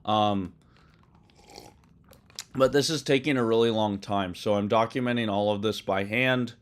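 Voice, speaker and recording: A lower-pitched man with a hoarse yet smooth, resonant voice, hosting a live coding stream from his desk. He talks loosely, filling pauses with ums and uhs and correcting himself mid-sentence. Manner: rambling, many ums and uhs, self-corrections. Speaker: livestream host coding a trading tool. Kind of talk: casual live-stream explanation.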